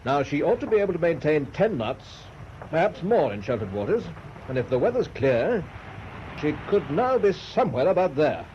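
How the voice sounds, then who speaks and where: Commanding British navy captain, engine noise behind. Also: male voice, on the high side, big pitch swings. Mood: brisk, resolute. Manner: crisp, authoritative, measured orders. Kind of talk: radio drama acting. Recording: dull radio drama, loud engine noise.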